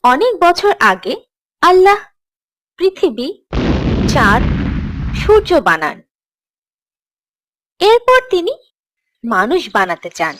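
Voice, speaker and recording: A woman playing a gentle, encouraging teacher who tells scripted religious stories to children in a cartoon. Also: high voice, sing-song, takes it slowly, pauses often, full character voice, poor audio. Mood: narrating, instructive. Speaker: storyteller, teacher.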